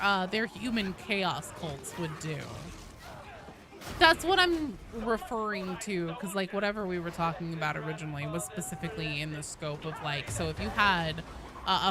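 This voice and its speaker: A woman livestreamer chatting to her viewers in a casual, rambling, animated way, with salty slang. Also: fairly low pitch. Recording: clearly noisy background.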